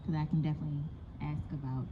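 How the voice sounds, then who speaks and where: Low-pitched American woman moderator, dull sound. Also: level tone, rapid-fire. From Southern United States church tradition. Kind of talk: casual, conversational Q&A moderating. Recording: dull, faintly noisy live recording.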